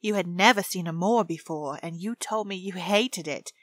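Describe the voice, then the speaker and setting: A woman's voice: fairly low pitch, moderate pace, no room sound. A woman playing a cheerful, chatty young housemaid. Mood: fond. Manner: warm and chatty dialect speech.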